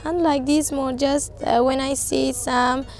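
High-pitched teenage girl with Afghan accent. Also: fairly even intonation, a little mellow. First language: not English. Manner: halting, simple non-native English. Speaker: interviewee, volunteer translator. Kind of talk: informal interview answers. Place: outdoors on a roadside.

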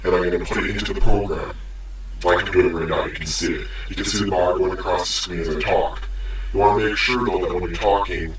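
A man narrating a tutorial, his voice processed with a lowered pitch and a chorus effect. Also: steady tone, fairly quick. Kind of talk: tutorial narration played back. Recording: echoey, distant, noisy processed playback.

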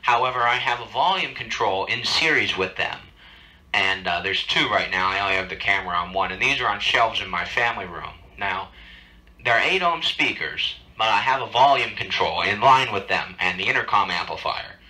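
Bright-voiced man explaining home tech. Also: lowish voice, animated, moderate pace, husky, poor audio. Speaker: video host demonstrating equipment. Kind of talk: informal tech demonstration. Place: indoors at home.

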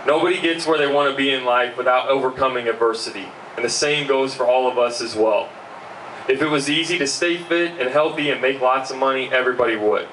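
A man's voice: medium pitch, fairly quick. Earnest young man reading a speech outdoors. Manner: earnest, steady, fillers between points. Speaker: keynote speaker at a benefit. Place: outdoor backyard party. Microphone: handheld mic, recorded by far camera. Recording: distant roomy outdoor sound, faint hum.

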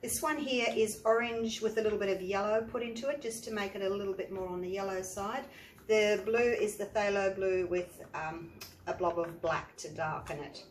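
An Australian woman, an art teacher, chatting step by step through a painting tutorial with a croaky voice from the flu. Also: medium pitch. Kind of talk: tutorial narration. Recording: echoey room, faint noise.

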